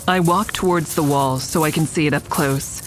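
An actress playing a reflective, tender woman who narrates an audio drama in measured, descriptive first person. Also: deep voice, steady tone, soft background music, natural voice, rough recording. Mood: admiring, awed. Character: reflective woman admiring a friend's mural, tender. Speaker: narrator and lead character.

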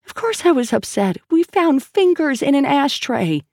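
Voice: high-pitched, big pitch swings, moderate pace, a little mellow, no echo, studio quality, pitched above the performer's own voice. An audiobook narrator playing a tense, stubborn woman police officer who speaks insistently and with exasperation. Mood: agitated, defiant. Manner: insistent, emphatic.

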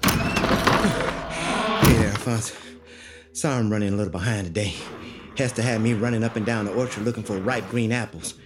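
A man's voice: lowish voice, animated, normal speed, long pauses, heavy background noise, natural voice. An actor playing an earnest, humble family man with a folksy Southern US accent in an audio drama. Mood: chatty. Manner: folksy, conversational. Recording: music behind the voice.

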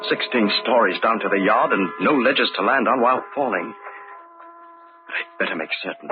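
An actor playing a guilty, paranoid husband in an old-time radio drama, outwardly polite but unraveling. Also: male voice, lowish voice, moderate pace, over music, close to an everyday voice. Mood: frantic, unraveling. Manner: agitated muttering to himself, swinging into cries. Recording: dull, noisy old radio recording.

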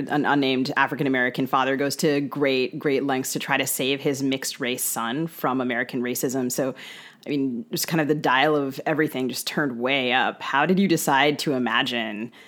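A woman's voice: a bass voice, fairly even intonation, normal speed, fairly bright tone. A woman co-hosting a podcast, putting questions to a guest in a conversational way. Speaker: podcast co-host.

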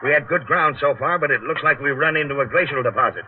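An actor in a 1940s radio drama playing a cautious, middle-aged veteran tunnel digger and father, gruff and blunt, talking in rough working-class slang. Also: mid-range voice, quick pace, natural voice. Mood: wary. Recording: muffled, noisy 1940s radio broadcast.

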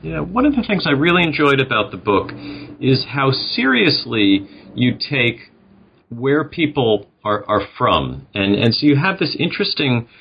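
A man's voice: mid-range voice, sing-song, unhurried, creaky, slight background noise. A man hosting a podcast, asking friendly, hesitant questions with fillers. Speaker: podcast host. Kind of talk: podcast interview. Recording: dull lo-fi audio.

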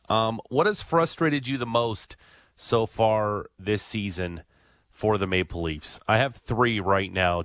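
A man's voice: deep voice, fairly bright tone, dry recording, clean studio sound. A man hosting a sports radio talk show, leading the chat with questions in a conversational way. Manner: conversational, leads the discussion with questions.

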